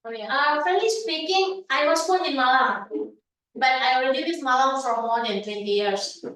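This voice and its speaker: A woman with a high voice speaks as a visiting guest in halting, friendly words. She sounds far off in a very echoey room. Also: fairly bright tone. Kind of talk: impromptu guest remarks.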